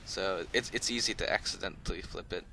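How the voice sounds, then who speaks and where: A man's voice: sits low, fairly quick, vocal fry, noisy background. A man giving casual, unscripted gameplay commentary as a video host, talking in an easygoing, rambling, conversational way. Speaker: host of a gaming video channel.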